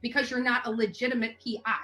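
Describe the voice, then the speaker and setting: Insistent, bright-voiced woman questioner. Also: a little high, flat delivery, quick pace. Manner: insistent, accusatory, repetitive. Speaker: interviewer confronting a man by phone. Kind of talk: confrontational questioning on a phone call.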